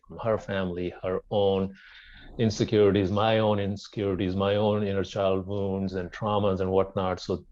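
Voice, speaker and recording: A middle-aged man from Pakistan talks in a fairly low voice on slightly muffled audio. He is a podcast guest, telling his own story in a casual, conversational style. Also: a little mellow. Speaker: podcast guest, wellness centre founder. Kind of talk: casual personal storytelling in an interview.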